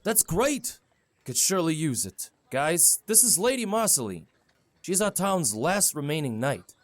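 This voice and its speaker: An actor playing a kindly small-town mayor in an audio drama, talking in a folksy, informal, reassuring way. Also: male voice, fairly high pitch, big pitch swings, measured pace, no room sound. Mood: eager, informal.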